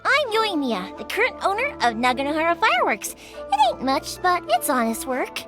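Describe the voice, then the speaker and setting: High, breezy, cheerful young shopkeeper. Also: female voice, wildly swooping pitch, moderate pace, over music, exaggerated voice. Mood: cheerful, friendly. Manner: breezy, colloquial, giggly. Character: cheerful young female fireworks shop owner, eager and friendly. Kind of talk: animated video game voice acting.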